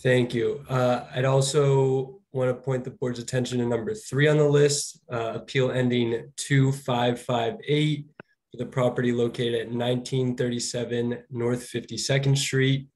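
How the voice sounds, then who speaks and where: A slightly hoarse man from the law department who speaks to a hearing board over a video call in a polite, deferential way. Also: medium pitch, flat delivery, normal speed, darkish tone. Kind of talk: formal hearing remarks.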